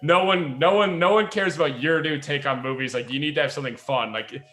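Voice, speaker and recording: A man chatting casually as a podcast host, telling stories in an animated way. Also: on the high side, a little fast. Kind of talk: casual talkback podcast chat.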